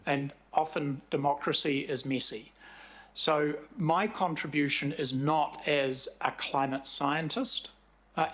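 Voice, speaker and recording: A man in his sixties with a New Zealand accent, presenting to a council committee as a commission chair in a measured way, with frequent fillers. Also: medium pitch, fairly bright tone. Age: 60s.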